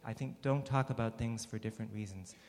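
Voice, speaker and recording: A man speaking as a psychiatrist on a panel, measured and academic, often hedging his points. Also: fairly low pitch, darkish tone. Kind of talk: panel discussion. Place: large hall with an audience. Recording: long hall reverb.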